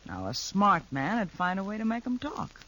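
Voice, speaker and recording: An actor playing a frank, caring friend in a 1950s radio western, blunt and encouraging. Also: male voice, high voice, huge pitch swings, moderate pace, bright, forceful tone, heavily acted. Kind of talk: 1950s radio drama acting.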